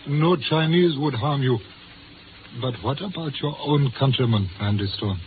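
A man's voice: mid-range voice, unhurried, lightly acted. An actor playing a stern, fearful Chinese restaurant-owning father, speaking formally and sententiously in a 1950s radio drama. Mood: guarded warning. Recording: noisy, tinny 1950s radio recording.

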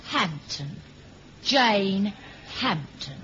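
A tough, possessive British female agent needles and teases with very animated intonation. The 1970s radio drama recording is dulled, with clear noise underneath. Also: medium pitch, extremely slow, muffled recording. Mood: jealous, arch. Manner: needling, sarcastic.

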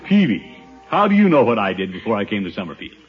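An actor playing a pompous, blustering middle-aged American bachelor uncle in a 1950s radio situation comedy. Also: male voice, on the low side, sing-song, fast talker, faint music behind. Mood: indignant. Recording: dull old 1950s radio recording.

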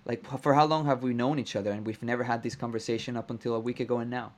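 A man hosting a podcast, chatting casually and warmly echoing his guest's words back. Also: mid-range voice, steady tone, rapid-fire. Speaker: podcast host. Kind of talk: casual interview chat.

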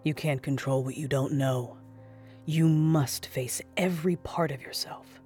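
An actress plays a wise mother figure, a woman with a low voice and a solemn, instructive delivery. She is a grave mentor in an audio drama, with faint music underneath. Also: measured pace, darkish tone. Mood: solemn, guiding.

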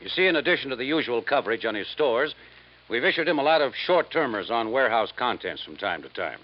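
Insurance man, businesslike, explanatory, middle pitch, slightly defensive, dull 1950s radio drama recording. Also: very animated. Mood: matter-of-fact. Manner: brisk, matter-of-fact, explanatory.

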